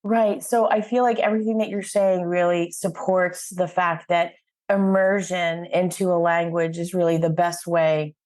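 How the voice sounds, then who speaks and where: A woman's voice: lowish voice, level tone, moderate pace, darkish tone. A woman hosting a podcast, speaking conversationally, affirming what her guest says and summing up before she asks questions. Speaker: podcast host, interviewer. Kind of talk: conversational interviewing.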